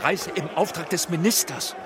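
A man's voice: on the high side, moderate pace, noisy background, natural voice. An actor playing a self-important film director, pompous and insistent as he pleads, in a radio play. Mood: pompous, pleading. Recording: outdoor crowd around the voice.

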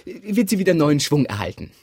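A man's voice: fairly high pitch, sing-song, fairly quick, a little mellow, slightly rough, dry recording, clean studio sound, heavily acted. An actor playing a stubborn, self-justifying man torn between two women in an absurdist radio drama, arguing pedantically and repeating his phrases. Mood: evasive, argumentative.

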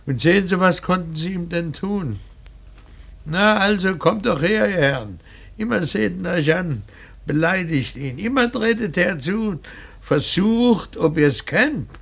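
Gravelly, husky grieving old father. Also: high-pitched, lively intonation, fairly bright tone, exaggerated voice. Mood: anguished, raging. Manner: halting, emphatic, swelling from murmurs to outcries. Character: grieving old painter mourning his dead son, bitter, rambling. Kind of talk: theatrical German radio drama monologue.

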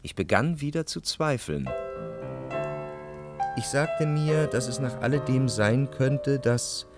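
An actor playing an introspective, doubting gentleman who narrates his love in a literary radio drama. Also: mid-range voice, animated, takes it slowly. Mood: reflective, doubting. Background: music.

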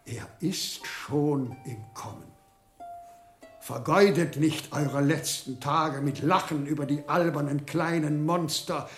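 An aged, doom-laden monk from a radio play. His delivery is solemn and drawn out, slightly high for a man. The space echoes, with faint music behind. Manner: slow, solemn exhortation. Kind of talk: radio drama acting.

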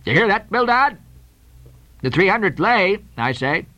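A man's voice: high voice, big pitch swings, a little slow, pushed and bright, dry recording, voice pushed up, exaggerated voice. A man voicing a hot-tempered old sea captain who blusters in exclamatory, archaic speech. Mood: indignant.

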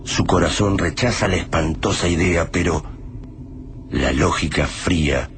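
A man narrating a radio drama in a slow, solemn, measured way. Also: low voice. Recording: noisy recording.

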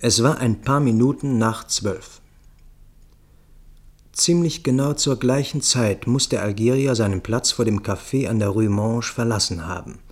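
An actor playing an observant man recounting events in the first person in a radio play, measured and sober. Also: medium pitch, natural voice. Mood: sober, watchful. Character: observant male friend recounting a tense night in Paris.

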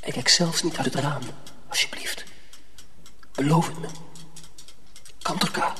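A man playing an earnest, anxious young miller's apprentice in a radio drama, asking questions in a halting way. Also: fairly high pitch, steady tone, close to an everyday voice. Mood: hushed pleading. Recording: clearly noisy old radio recording.

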